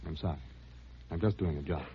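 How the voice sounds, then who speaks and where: Very deep, placating official. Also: male voice, slightly rough, exaggerated voice. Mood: apologetic. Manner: measured, soothing, noncommittal. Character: evasive, placating male official just doing his job. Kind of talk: 1950s radio drama acting. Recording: muffled, noisy old radio recording.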